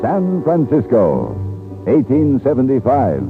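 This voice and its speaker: A man's voice: mid-range voice, huge pitch swings, takes it slowly, faint music behind. A man with an American accent doing bold, declamatory announcing for an old-time radio drama. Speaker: program announcer. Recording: tinny vintage radio recording.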